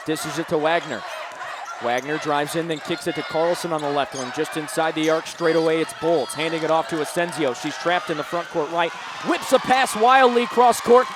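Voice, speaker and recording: A man doing live radio play-by-play of a basketball game from an arena, projecting his calls and getting animated on big plays. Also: fairly high pitch. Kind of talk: live sports commentary. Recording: noisy live arena broadcast, bouncing balls.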